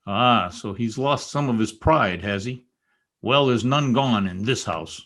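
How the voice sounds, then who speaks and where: An actor playing a cruel, tormented gothic master in an old-time radio drama, sardonic, brooding and theatrical. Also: male voice, medium pitch, a little slow, fairly bright tone. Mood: scornful.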